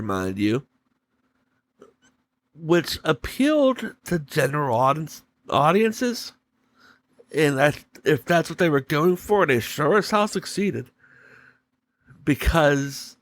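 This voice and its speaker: A man hosting a solo podcast, giving opinion commentary in deliberate, halting short phrases. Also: a little high. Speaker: podcast host.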